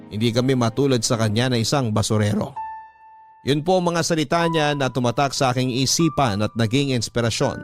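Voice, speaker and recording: A Filipino man narrating a humble life story as an earnest grown child in a radio drama, measured and sentimental. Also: medium pitch, lightly acted. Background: instrumental music.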